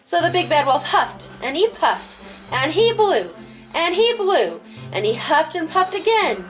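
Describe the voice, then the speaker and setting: Female children's storyteller and host, high-voiced with big swings in pitch, over music. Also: very animated, a little slow, rough recording. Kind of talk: animated storybook narration for children.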